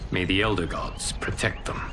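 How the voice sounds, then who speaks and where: A man's voice: low-pitched, fairly even intonation, normal speed, heavy background noise. A man playing a weary, noble fire god and leader in a video game, speaking earnestly and formally. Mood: solemn, troubled. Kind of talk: video game cinematic acting.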